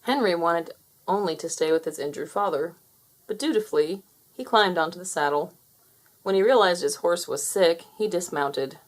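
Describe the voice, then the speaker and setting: A woman's voice: fairly low pitch, moderate pace. A woman narrating a children's storybook aloud, inviting young listeners to guess what happens. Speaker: storybook reader.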